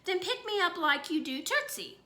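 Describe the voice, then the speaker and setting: Woman doing a bratty little boy, whiny and singsongy, real high and bouncy. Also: sing-song, normal speed, pushed and bright, exaggerated voice. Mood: playful. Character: mischievous little boy, jealous and stubborn.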